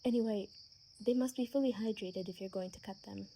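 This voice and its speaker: A woman acting a gentle, sheltered young vampire daughter in an ASMR roleplay, speaking in a soft, earnest, hesitant way. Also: mid-range voice, brisk, natural voice. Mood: soft, friendly. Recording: faint background noise with chirping crickets.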